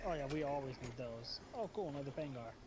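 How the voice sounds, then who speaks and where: A man with a loud voice, chatting and joking casually with friends while playing a video game. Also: mid-range voice, expressive, quick pace, noisy background. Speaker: gaming video creator. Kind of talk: casual gameplay chat with friends.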